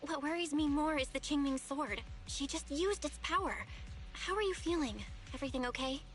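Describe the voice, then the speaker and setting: A woman's voice: high-pitched, normal speed, faint music behind, lightly acted. An actress playing a protective, worried young female companion in a video game, with an urgent, caring manner. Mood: worried, firm.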